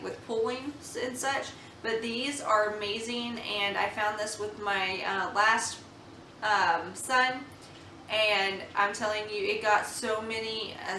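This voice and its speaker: Chatty young mom in an echoey room. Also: female voice, on the high side, pushed and bright, a little hiss or hum. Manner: chatty, earnest, with many ums and restarts. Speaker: mom vlogger reviewing baby products. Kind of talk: casual product review chat. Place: home room. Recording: echoey room, slightly far from mic.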